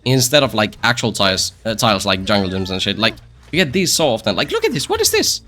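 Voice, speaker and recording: Bright-voiced man rambling over a game. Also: on the low side, huge pitch swings, fast talker. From Finland. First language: Finnish. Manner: rambling, self-deprecating, joking asides, repeats phrases. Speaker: game streamer. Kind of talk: casual gameplay commentary.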